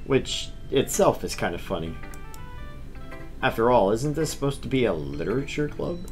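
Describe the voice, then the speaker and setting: Male stream host, informal and jokey, reading and chatting at medium pitch over background music. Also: very animated, moderate pace, darkish tone. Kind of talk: casual chat and visual novel read-aloud.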